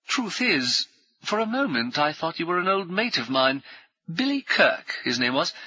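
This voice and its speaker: Guy putting on a loud jolly ex-army officer, bossy, clipped fast talk, husky bright voice, dull dry audio. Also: fairly high pitch, big pitch swings, no echo, voice pushed up, full character voice. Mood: hearty. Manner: swift, clipped speech. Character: hearty ex-cavalry officer, brash, jovial, bossy.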